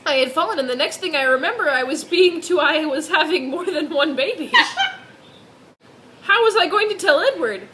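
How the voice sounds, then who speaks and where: A woman's voice: high voice, expressive, normal speed, pushed and bright, a little hiss or hum. A woman giving a mock-dramatic reading of fan fiction, giggly and theatrical, with sarcastic asides.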